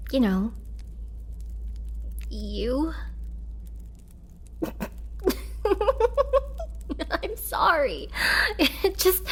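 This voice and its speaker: A woman playing a gentle, remorseful young vampire in an intimate roleplay audio drama, speaking softly and hesitantly with tender care. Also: high-pitched, exaggerated voice. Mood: bashful, amused. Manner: soft, hesitant, caring delivery.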